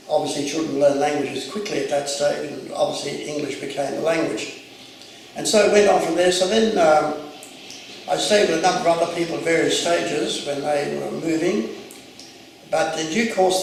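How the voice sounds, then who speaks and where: A man in his eighties, a retired Anglican clergyman whose first language was Arabic, telling his life story in a measured, reflective way. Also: fairly high pitch, vocal fry, a little hiss or hum. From Jerusalem, Mandatory Palestine. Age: 80s. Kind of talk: oral history recollection. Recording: echoey room, distant mic.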